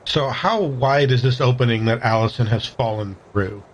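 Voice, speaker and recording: A man's voice: mid-range voice, normal speed, slight background noise. A man plays a tabletop game, talking casually and working out his moves aloud in a tentative way. The recording is a little dull and muffled.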